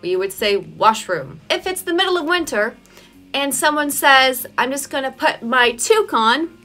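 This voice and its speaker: A woman's voice: high voice, animated, normal speed. A young woman with a Toronto Canadian accent, chatting playfully to the camera in a vlog and laughing often. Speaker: vlogger. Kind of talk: casual vlog talk to camera. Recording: faint music underneath. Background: soft background music.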